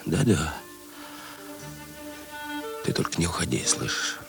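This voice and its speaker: An actor playing a brash, restless but tender thief in a Russian radio drama, with halting, repetitive, slangy speech. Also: male voice, low voice, animated, vocal fry, over music, full character voice. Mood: pleading, hushed.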